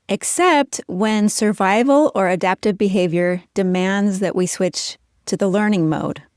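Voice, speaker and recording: A middle-aged woman hosts a podcast and talks her listeners through ideas in a clear, measured teaching tone. Her voice sits at an ordinary middle pitch and is recorded dry and close, with no room sound around it. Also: a little mellow, no room sound. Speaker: podcast host. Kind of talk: explanatory podcast talk.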